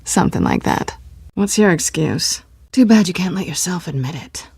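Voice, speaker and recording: A woman's voice: lowish voice, expressive, normal speed, creaky, darkish tone, breathy edge, dry recording, exaggerated voice. An American woman in her thirties playing a sly, self-assured cat burglar in a video game, teasing, flirtatious and sardonic. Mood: coy, sardonic.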